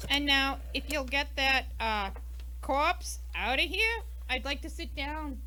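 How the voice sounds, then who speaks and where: A woman's voice: high-pitched, expressive, slow pace, pushed and bright, a little hiss or hum, heavily acted. A woman playing a brash, defensive, indignant American murder suspect in an old-time radio mystery, with a snappy, huffy delivery. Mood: huffy, bossy.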